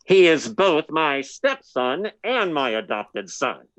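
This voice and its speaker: A fretful, wealthy older man from an old-time detective play , put on by an actor. He speaks slowly and formally, his pitch swinging widely. The sound is close and dry. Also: very animated, a bit bright, no room sound, exaggerated voice, low-quality recording. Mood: startled. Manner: formal, fussy, exclamatory. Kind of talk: 1940s radio detective drama.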